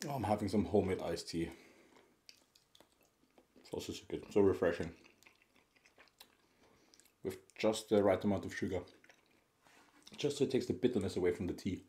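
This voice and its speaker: A man's voice: deep voice, moderate pace, long pauses, warm tone. A man from Austria with a Viennese accent tinged with Scottish, hosting an ASMR eating video and chatting casually between bites. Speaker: mukbang host.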